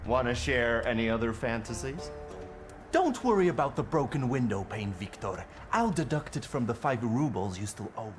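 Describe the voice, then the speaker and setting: An actor playing a cocky, loyal, sharp-tongued rogue poet in a video game, flippant and mocking. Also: male voice, mid-range voice, sing-song, normal speed, soft background music, natural voice. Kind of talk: dramatic video game dialogue acting.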